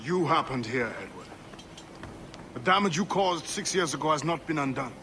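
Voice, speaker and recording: An actor playing a principled quartermaster, formal and measured, in a video game cutscene. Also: male voice, on the high side, expressive, sharp tone, a little hiss or hum. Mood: stern, sincere. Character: dignified, principled quartermaster, adult man.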